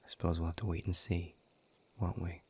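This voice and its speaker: A man playing a weary, bitter exiled demon in an audio drama, speaking in a gravelly, breathy half-whisper with a slow, sardonic delivery. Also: low-pitched, flat delivery, warm tone, slight background noise. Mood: tired, wry. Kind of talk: dramatic audio roleplay monologue to the listener.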